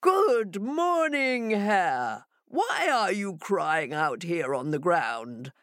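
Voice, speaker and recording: A woman's voice: lowish voice, very animated, extremely slow, a bit bright, no echo, clean studio sound, full character voice. A woman voicing a bossy, blustering male jackal in a storybook tale, with emphatic, commanding delivery. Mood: confident.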